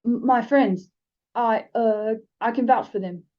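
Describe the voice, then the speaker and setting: A fretful young schoolboy played by a woman speaks anxiously and haltingly at a raised pitch. It sounds like a children's fantasy storybook, on a slightly dull recording. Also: close to an everyday voice. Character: nervous, fretful young schoolboy, easily winded.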